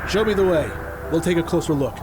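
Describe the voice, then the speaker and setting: Man acting a high-voiced, animated gallant young conjurer. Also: fast, noisy background, close to an everyday voice. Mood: calm, helpful. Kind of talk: audio drama acting. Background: music.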